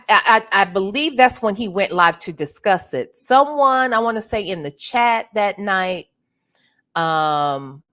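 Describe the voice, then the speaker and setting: A woman hosting a casual livestream, chatting with her viewers while sounding congested and clearing her throat now and then. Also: low-pitched, fairly bright tone. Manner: chatty, greets viewers by name. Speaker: livestream host.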